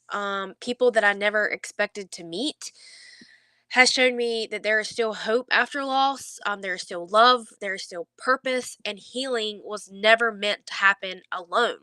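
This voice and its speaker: A woman speaks in a bright, clear voice with a slightly congested nose. She talks to the camera about faith in an earnest, reassuring and conversational way, often halting to find her words. The sound is dry, with very little room in it. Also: mid-range voice, no room sound. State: stuffy nose, under the weather. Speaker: faith vlogger. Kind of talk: heartfelt devotional talk to camera.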